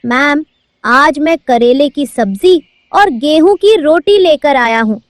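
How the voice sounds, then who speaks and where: Lady voicing a tiny pigeon boy, whiny cartoon kid, super high and slow. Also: expressive, no echo, clean studio sound. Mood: meek. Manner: childlike, pleading. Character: meek young boy pigeon student. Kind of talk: cartoon voice acting.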